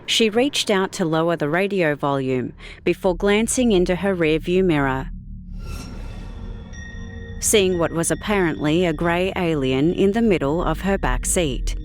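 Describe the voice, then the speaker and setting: Slow, low-pitched woman narrating fiction. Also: a little hiss or hum. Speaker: audiobook narrator.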